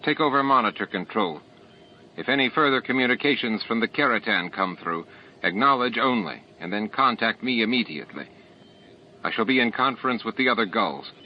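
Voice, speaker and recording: A man's voice: mid-range voice, animated, a little fast, close to an everyday voice. Man reading as curt alien commander, muffled. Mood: curt, commanding. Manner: curt, barking orders. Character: stern male alien military commander. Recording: dull lo-fi tape with clear background noise.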